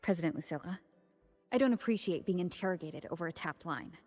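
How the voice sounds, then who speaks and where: Actress as calm low-voiced heroine in reverb. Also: female voice, faint music behind, lightly acted. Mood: wary, firm. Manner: measured, reserved. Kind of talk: video game voice acting.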